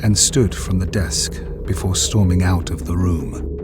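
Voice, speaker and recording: Slow, low-voiced male narrator over music. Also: steady tone. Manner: measured, solemn. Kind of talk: scripted dramatic story narration. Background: loud music.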